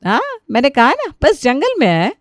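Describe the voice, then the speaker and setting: Wry, high-voiced cartoon housewife played by a woman. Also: huge pitch swings, moderate pace, no echo, studio quality. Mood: sarcastic. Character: plain-spoken, wry, helpful housewife mother-in-law. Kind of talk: Hindi cartoon story character voice.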